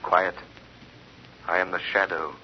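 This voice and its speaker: An actor playing a mysterious invisible avenger in a 1940s radio drama, commanding and hushed through a telephone-like filter. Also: male voice, deep voice, level tone, a little slow. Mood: secretive. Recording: muffled, noisy old radio recording.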